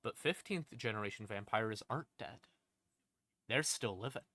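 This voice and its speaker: A man streaming a casual lore explainer in an informal, sweary, conversational way, with emphatic, dramatic stresses and drawn-out words. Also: on the low side, lively intonation, bright, forceful tone, no room sound. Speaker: streamer, host. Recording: music playing behind the voice. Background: creepy ambient music.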